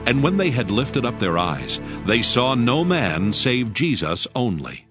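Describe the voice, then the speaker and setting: A man narrating dramatized scripture in a measured, formal storytelling manner. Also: mid-range voice, very animated. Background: music.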